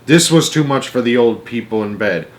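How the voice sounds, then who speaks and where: Man narrating a storybook, mid-pitched. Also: animated, moderate pace. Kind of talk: expressive read-aloud narration.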